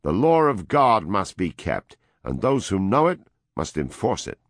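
A man voicing a domineering male world president, loud, preaching and dictatorial. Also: mid-range voice, very animated, slow pace, no echo, clean studio sound, pitched above the performer's own voice, full character voice.

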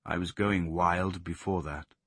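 A man voicing a brooding male sheepdog who speaks slowly and withdrawn. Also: deep voice, fairly even intonation, a bit bright, slightly rough, dry recording, heavily acted. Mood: sullen. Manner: slow and withdrawn.

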